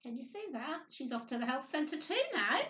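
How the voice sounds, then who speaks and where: Woman, nursery storyteller, high-pitched and sing-song, speaking simply to little ones; roomy, echoing sound. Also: expressive, moderate pace, a bit bright, slight background noise. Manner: sing-song, simple child-directed talk with frequent tag questions. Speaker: children's storytime host, nursery worker. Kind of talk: reading picture book aloud to young children. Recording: roomy echo, distant microphone.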